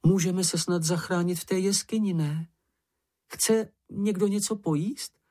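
A storybook reader voicing a practical, sweet, slightly vain young female creature with a brisk, ladylike manner. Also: medium pitch, warm tone, voice pushed up, natural voice.

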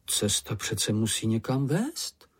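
A narrator voicing a good-natured young male troll child, curious and calm, in a matter-of-fact manner. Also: mid-range voice, husky. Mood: puzzled.

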